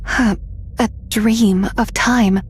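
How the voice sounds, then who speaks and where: A slow, wistful, mysterious maiden. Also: female voice, on the high side, steady tone, slight background noise. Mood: wistful, hesitant. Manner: soft, halting, dreamy. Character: lonely, wistful, mysterious young woman, gentle and forgetful. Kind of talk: video game voice acting. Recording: faint music behind the voice. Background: soft game music.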